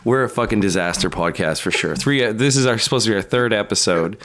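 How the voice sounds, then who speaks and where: A man's voice: fairly low pitch, expressive, fast talker, fairly bright tone, a little hiss or hum. A young man co-hosting a podcast in casual chat, swearing freely and venting in a blunt, exasperated way. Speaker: podcast co-host.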